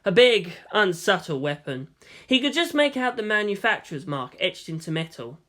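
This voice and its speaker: A man's voice: sits high, big pitch swings, normal speed, a bit bright. A man narrating a story from a script as a fan fiction reader.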